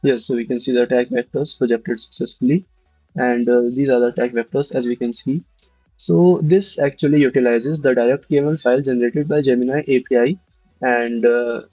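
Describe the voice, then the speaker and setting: A man's voice: mid-range voice, no echo. A young man presenting a demo of his student app, explaining steadily with occasional "uh" fillers. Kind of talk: screen-recorded project demo. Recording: dull dry lo-fi recording.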